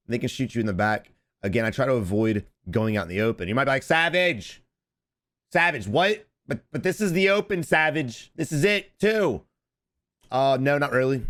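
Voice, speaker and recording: Man, 30s, rapid speech, slightly hoarse, casual game coaching, home desk mic. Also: medium pitch. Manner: rapid, repetitive, advice-giving. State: slightly hoarse from screaming on stream. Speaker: gaming coach and streamer. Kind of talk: casual gameplay commentary and coaching. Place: home streaming setup indoors.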